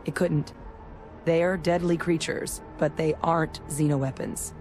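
A woman playing a candid, measured military veteran in a video game, speaking deliberately. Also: low voice, steady tone, a little mellow, faint music behind. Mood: measured, reassuring. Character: measured, candid female soldier-scientist, war veteran.

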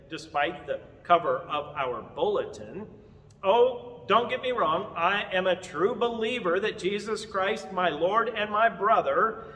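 An older man preaching an Easter sermon from a lectern in a large church, earnest and measured. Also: high voice, fairly bright tone. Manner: measured, earnest preaching with open-hand gestures. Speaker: pastor. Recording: long reverb of a big church hall.

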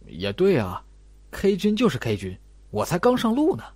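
Audiobook role: naive, eager young male sidekick acted by a man, excitable, swooping intonation. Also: a little high, a little slow, breathy edge, dry recording, voice pushed up, heavily acted. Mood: sheepish, admiring.

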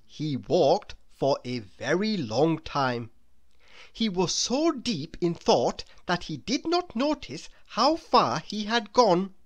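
A woman narrating a children's storybook aloud in an expressive, deliberate storytelling manner. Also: lowish voice.

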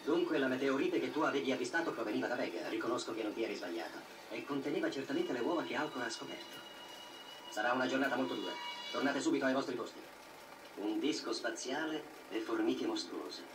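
A man playing a grave, authoritative fatherly scientist who gives measured commands in a dubbed 1970s anime. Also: medium pitch, lively intonation, noisy background, lightly acted. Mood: grave, commanding. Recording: old film soundtrack, echoey, distant, with music. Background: music.

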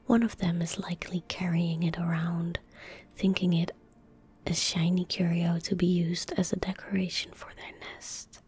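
A woman in her twenties speaking in a breathy, gentle whisper close to the mic, giving unhurried ASMR commentary as she plays a game. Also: lowish voice, level tone. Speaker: ASMR gaming streamer. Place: indoor room at a desk. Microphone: close desk microphone.

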